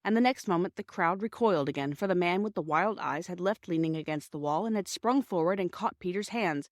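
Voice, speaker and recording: A woman's voice: fairly low pitch, brisk, dry recording, studio quality. A woman narrating a children's story from a script, as an audiobook reader.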